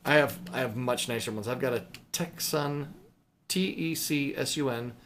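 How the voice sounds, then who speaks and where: A man's voice: medium pitch, moderate pace, a bit bright, slight background noise. A man chatting casually and unscripted to viewers as a hobbyist host, informal and rambling, thinking aloud as he goes. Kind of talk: casual unscripted chat to viewers while showing items.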